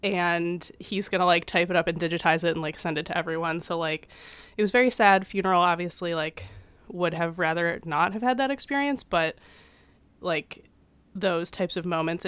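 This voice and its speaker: A woman's voice: lowish voice, fairly bright tone, smooth. Chatty young woman with a cold. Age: 20s. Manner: chatty, many fillers. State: getting over a cold. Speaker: podcast co-host. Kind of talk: casual chat. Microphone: studio microphone.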